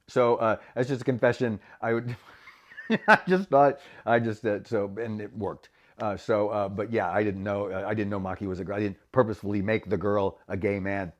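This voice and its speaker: A man's voice: lowish voice, fairly even intonation, normal speed, highs cut off. A man, a voice actor, talking frankly in a casual vlog monologue, laughing often. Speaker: voice actor and vlogger.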